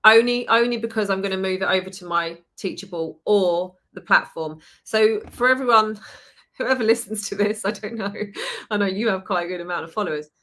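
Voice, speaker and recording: English woman guest, bright and chatty, rambling storyteller. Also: medium pitch, brisk. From United Kingdom. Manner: chatty, lively storytelling with laughs. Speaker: guest, permanent makeup trainer. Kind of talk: casual podcast chat.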